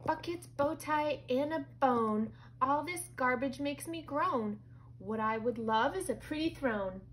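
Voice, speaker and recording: A woman voicing an excitable, bossy little girl princess in a children's storybook, with a theatrical, exclamatory delivery. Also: fairly high pitch, normal speed, slight background noise. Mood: chanting rhymes.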